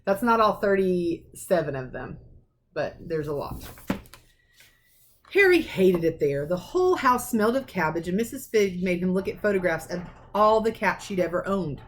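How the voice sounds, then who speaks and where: A woman reading a children's novel aloud as a teacher would, in an expressive storytelling manner. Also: on the low side, moderate pace, a little hiss or hum. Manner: animated, acts out dialogue.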